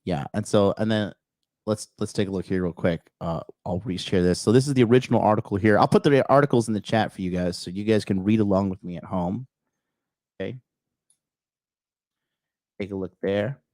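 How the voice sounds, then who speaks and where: A man hosting a livestream, reading an article aloud and answering the chat in a blunt, crude way, with a raspy, slightly nasal voice. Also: fairly low pitch, a little fast, long pauses, a little mellow, no echo. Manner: blunt, crude, talks back to live chat. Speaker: podcast host.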